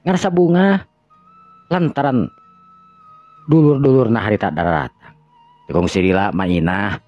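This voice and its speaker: A man's voice: sits low, no room sound. A man with a Sundanese accent narrating a dramatized radio story in a conversational way, correcting himself as he goes. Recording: muffled lo-fi audio with music behind.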